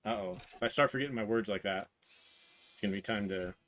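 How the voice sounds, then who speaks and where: A man in his thirties with a hoarse, lowish voice talks through a hands-on build on a live stream. He halts, searches for words and pokes fun at his own slips. His voice catches now and then, and he keeps clearing his throat. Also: a bit bright. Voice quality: voice cutting out, clears throat often. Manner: halting, searching for words, self-deprecating. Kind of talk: live build stream, casual talk while working.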